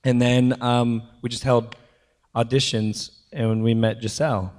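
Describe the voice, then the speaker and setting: Hoarse man telling how he met his team. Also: mid-range voice, flat delivery, darkish tone. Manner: halting, with many fillers. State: losing his voice. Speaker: film producer. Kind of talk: post-premiere panel talk.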